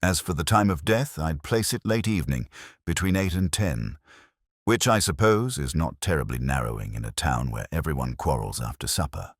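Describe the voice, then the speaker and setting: A man's voice: rumbling low, animated, normal speed, no room sound, exaggerated voice. A man voicing a blunt, dry police doctor in a mystery story, with a clipped clinical manner. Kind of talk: mystery audiobook character reading.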